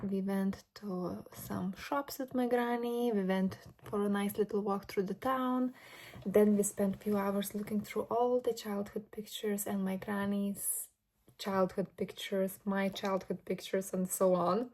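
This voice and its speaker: Earnest woman with a mellow voice. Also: mid-range voice, fairly even intonation. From Lithuania. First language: Lithuanian. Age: late 20s. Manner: earnest, personal, unhurried with fillers. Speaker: vlogger. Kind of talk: reflective vlog monologue. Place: grandmother's home indoors.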